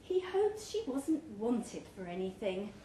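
A woman's voice: a little high, sing-song, a little slow, warm tone. A woman reading a short story aloud to a live event, expressively, giving life to the dialogue. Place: indoors, pub event room. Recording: roomy live pub recording, background noise.

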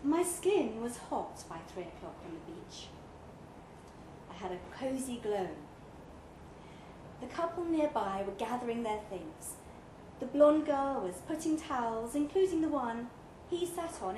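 Slow, high-voiced woman reading in an echoey room. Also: lively intonation. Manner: acts out dialogue in character. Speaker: reader of a short story. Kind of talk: expressive literary reading to a live audience. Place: pub event room, live reading. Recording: echoey room with clear background noise.

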